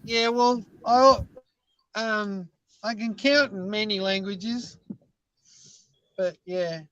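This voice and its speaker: High-voiced man with a mixed British Isles accent. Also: fairly even intonation, takes it slowly, fairly bright tone, clean tone, no room sound, highs cut off. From Scotland. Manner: chatty, meandering, self-deprecating. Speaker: guest caller. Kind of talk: casual chat.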